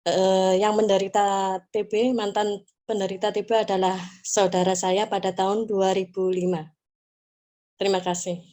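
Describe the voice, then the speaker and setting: A woman in her thirties with a mellow, soft-toned voice introduces herself calmly to the group. Her speech is plain and unhurried. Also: medium pitch. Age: 30s. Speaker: discussion participant.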